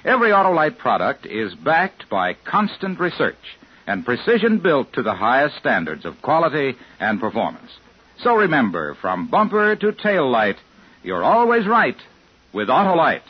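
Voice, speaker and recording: A man's voice: mid-range voice, wildly swooping pitch, moderate pace, slightly rough. A man working as a commercial announcer on 1950s radio, with a polished, declamatory sales delivery. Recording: dull 1950s radio recording.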